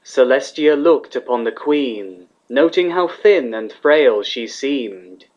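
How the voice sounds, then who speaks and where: A man's voice: mid-range voice, lively intonation, slow, darkish tone. A man reading scripted fiction as the narrator of a dramatic story. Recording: slightly dull, lo-fi recording.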